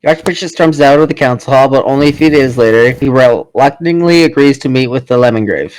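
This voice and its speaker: A man's voice: mid-range voice, a little fast, a little mellow, smooth, no room sound, low-quality recording. A man reading story narration aloud as a player in a party game.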